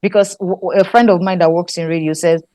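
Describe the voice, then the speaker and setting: A woman from Nigeria with a Nigerian accent, a guest scriptwriter chatting in an interview, thoughtful and quick to laugh, often restarting her sentences. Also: on the low side, steady tone, dark tone, no echo. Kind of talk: casual interview chat.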